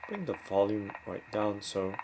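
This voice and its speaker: A man giving a casual hands-on product demonstration, talking step by step in short, halting phrases. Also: on the low side, animated, very noisy. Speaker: product reviewer.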